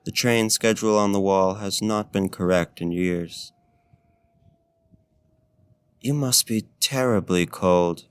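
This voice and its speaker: Man playing a slow, deep, courtly vampire. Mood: polite, inviting. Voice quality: low, gravelly. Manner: formal, archaic, courteous and flirtatious phrasing. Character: courtly male vampire host, smooth, gently menacing and seductive. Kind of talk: ASMR roleplay, slow and intimate.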